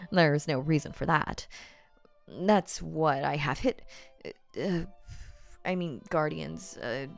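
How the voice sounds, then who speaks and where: Woman acting as a rich older yandere gf, lowish voice, really slow n coy, stammers a bit, doting asmr roleplay w music behind. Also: vocal fry, full character voice. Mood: boastful, flustered. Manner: coy, halting, stammering, cooing pet names.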